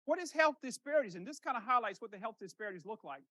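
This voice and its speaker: A man's voice: very high-pitched, expressive, quick pace, pushed and bright, dry recording. A middle-aged man, a rheumatologist, giving a conversational lecture that opens with a story and leans on rhetorical questions. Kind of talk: lecture to a professional audience. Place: lecture room.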